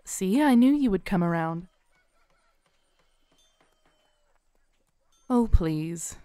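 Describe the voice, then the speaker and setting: Knowing female tarot reader, acted by a woman, audio roleplay; soothing, very slow delivery, dry close recording. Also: mid-range voice, expressive, no room sound, natural voice. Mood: playful, warm. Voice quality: smooth, soft. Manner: unhurried, soothing, theatrical, with dramatic pauses. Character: kindly, playful, knowing Renaissance faire tarot reader woman. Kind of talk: soft-spoken audio roleplay addressed to the listener. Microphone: close studio mic, dry.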